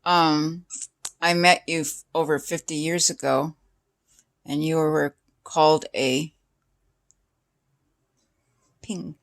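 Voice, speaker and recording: A woman's voice: sits low, level tone, slow pace. Inquisitive older woman chatting casually. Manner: inquisitive, short reactions. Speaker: interviewer questioning the other speaker. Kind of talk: casual chat.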